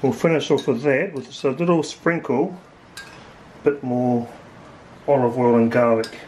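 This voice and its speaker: A man in his forties with a New Zealand accent, a little hoarse, chatting casually as he cooks and tastes food in his home kitchen. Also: medium pitch, normal speed, slight background noise, rough recording. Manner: relaxed, chatty, thinking aloud with fillers. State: slightly hoarse, losing his voice. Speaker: home cook vlogger. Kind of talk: casual cooking commentary.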